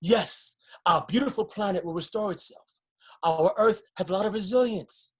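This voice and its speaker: A man's voice: high-pitched, moderate pace, breathy edge. An actor playing a defiant, hopeful man plotting escape in a stage drama, speaking earnestly and emphatically. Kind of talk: dramatic stage-play dialogue.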